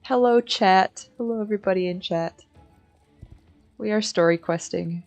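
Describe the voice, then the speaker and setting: A woman's voice: medium pitch, normal speed, a little mellow, soft background music. An American woman chatting casually as she hosts a game livestream, with a giggly, slangy, informal manner. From Michigan, United States. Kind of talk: casual livestream chat while gaming.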